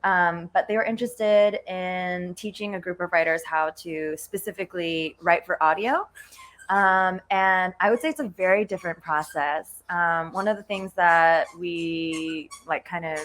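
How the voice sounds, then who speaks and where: A woman's voice: on the low side, steady tone, fairly bright tone. Chatty woman on a casual panel. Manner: chatty, giggly, halting with trailing asides. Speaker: playwright panelist. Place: at home on a video call. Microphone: video call.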